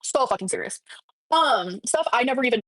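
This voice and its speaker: A woman's voice: on the high side, expressive, fairly quick, a bit bright, no room sound, low-quality recording. A woman chatting casually on a livestream, gossipy and sassy, often breaking off mid-thought to answer viewers.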